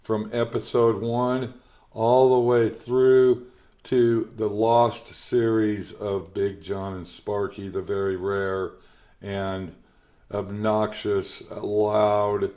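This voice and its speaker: A man reading a scripted introduction as a presenter, in a drawn-out, measured announcing manner. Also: lowish voice, level tone, dark tone.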